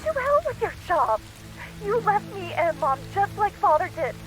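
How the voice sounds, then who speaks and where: A woman's voice: very high voice, big pitch swings, moderate pace, rough recording. A woman playing a frightened, pleading sister. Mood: bitter. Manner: pleading, tearful and accusing. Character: frightened young woman alone, grieving sister. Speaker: caller. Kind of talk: game voice acting.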